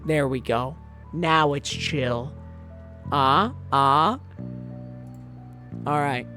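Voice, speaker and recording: A woman's voice: sits low, extremely slow, sharp tone. A woman streamer chatting casually and reading a manga aloud, jokey, laughing often and interrupting herself. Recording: background music under voice.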